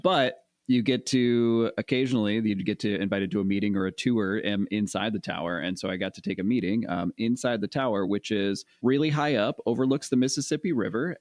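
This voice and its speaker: Low-voiced co-host, dry sound. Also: male voice, dry recording. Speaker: podcast co-host. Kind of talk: casual storytelling chat.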